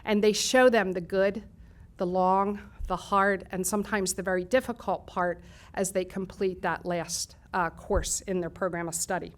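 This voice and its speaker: A woman's voice: medium pitch, flat delivery, moderate pace. A middle-aged woman leading a college nursing program, giving a formal speech of thanks at a ceremony. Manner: formal, reads lists of thanks with some fillers and restarts.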